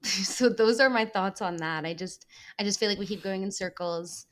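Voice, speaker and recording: A woman's voice: mid-range voice, moderate pace. A young woman in her twenties, guesting on a podcast. She grew up between Israel and the United States. Her talk is casual and chatty, and she laughs easily. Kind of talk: casual conversation.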